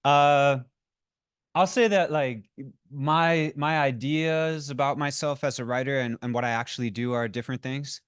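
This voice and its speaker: A man's voice: mid-range voice, fairly even intonation, moderate pace, smooth, no echo, clean studio sound. Chatty man at a reading panel. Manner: loose, chatty, many "like"s. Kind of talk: casual panel talk. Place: live reading event before an audience.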